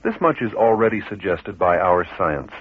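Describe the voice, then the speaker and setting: Lowish husky man, calm documentary narration, lo-fi radio sound. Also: moderate pace. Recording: narrow lo-fi radio broadcast.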